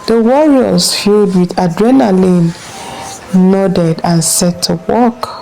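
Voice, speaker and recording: Slow, mellow woman telling a folktale. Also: mid-range voice, creaky, slight background noise, rough recording. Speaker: storyteller narrator. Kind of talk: scripted folktale narration.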